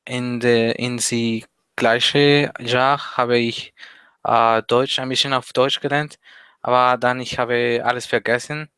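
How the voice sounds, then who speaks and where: Teen guy, slow hesitant talk, bright super clear voice. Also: mid-range voice, flat delivery, no echo. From Mexico. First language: Spanish. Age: teens. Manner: hesitant, many pauses and fillers. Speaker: interview guest, language learner. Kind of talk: casual interview chat.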